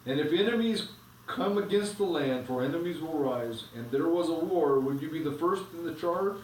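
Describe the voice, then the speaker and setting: A man reading aloud, voicing a majestic, kind male lion king in a grave, measured way. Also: fairly high pitch, animated, a little hiss or hum, pitched above the performer's own voice, lightly acted. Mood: solemn. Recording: echoey room, from a distance.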